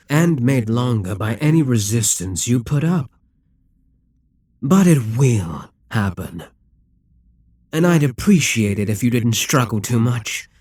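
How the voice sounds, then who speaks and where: A man playing an immortal Demon King in an ASMR roleplay, cold, calculating and condescending, with a husky, commanding delivery. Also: mid-range voice, a little mellow, no echo. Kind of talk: ASMR audio roleplay, dramatic monologue.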